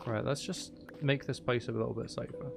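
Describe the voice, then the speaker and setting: A man's voice: medium pitch, steady tone, fairly quick, gravelly. A man giving casual gameplay commentary, muttering to himself as he thinks aloud while he plays. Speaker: gamer playing Minecraft. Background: background music.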